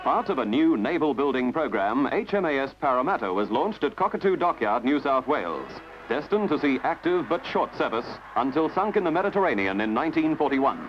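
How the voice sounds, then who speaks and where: A man narrates a scripted newsreel in a dramatic, measured, slightly wry way. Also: medium pitch, very animated. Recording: dull lo-fi archival film soundtrack with music. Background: prominent music.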